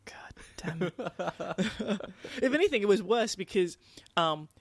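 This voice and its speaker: A woman's voice: mid-range voice, lively intonation, slow, husky, no echo. A woman co-hosting a podcast in casual conversation, telling things in a rambling way. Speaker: podcast co-host. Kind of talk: casual chat.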